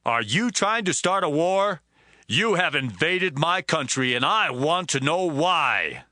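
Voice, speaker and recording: A man's voice: a little high, very animated, takes it slowly, no echo, clean studio sound, full character voice. A man playing Jephthah, a bold outcast warrior who turned leader, in a dramatized Bible reading with music behind. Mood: challenging. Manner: forceful, challenging questions. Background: background music.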